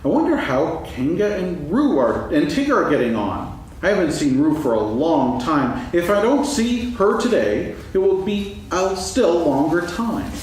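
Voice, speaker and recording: A man playing a gentle, absent-minded, food-loving toy bear in a staged children's story reading, musing aloud in a singsong way. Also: a little high, very animated, a little slow, few pauses. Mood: dreamy musing. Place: stage, actors seated, live audience recording. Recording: echoey hall from far off, faint noise.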